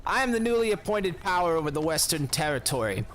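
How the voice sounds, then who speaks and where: A man's voice: big pitch swings, quick pace, fairly bright tone, a little hiss or hum. An actor playing a vain, courtly young lord in his thirties, florid and theatrical, turning bitter and brooding. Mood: flattering. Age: 30s. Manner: florid, swooping and courtly, sinking low and brooding when upset. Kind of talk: audio drama acting. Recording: music bed under the voice.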